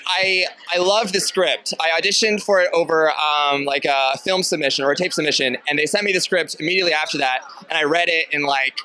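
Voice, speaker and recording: Laid-back young actor, bright voice. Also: male voice, fairly high pitch, fairly quick, hardly any pauses. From Massachusetts. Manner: laid-back, hesitant, filler-heavy. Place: film festival red carpet, indoors. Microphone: handheld interview mic.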